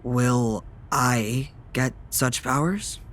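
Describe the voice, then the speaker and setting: A newly turned vampire man played by a male actor in an audio drama, curious and a bit naive. His voice is mid-pitched and conversational, full of sceptical questions. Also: very slow, a bit bright.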